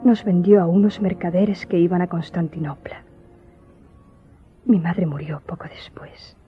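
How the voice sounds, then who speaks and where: An actress playing a grieving daughter who solemnly tells her tragic story in a radio drama, in a measured way. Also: on the low side, husky, muffled recording. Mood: sorrowful.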